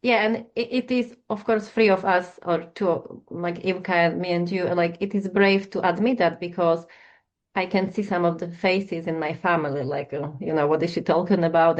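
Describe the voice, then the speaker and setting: Hesitant soft-spoken woman, lo-fi sound. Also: on the low side, steady tone, moderate pace. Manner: hesitant, searching for words. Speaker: guest counsellor. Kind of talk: reflective personal conversation. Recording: dull lo-fi audio.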